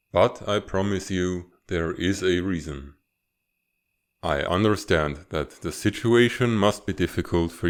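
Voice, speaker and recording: Man acting as very deep, slow, stern serpent lord. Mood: guarded, firm. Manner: measured, formal, authoritative. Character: stern, guarded serpent-dragon rebel leader and father. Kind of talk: dramatic comic fandub acting.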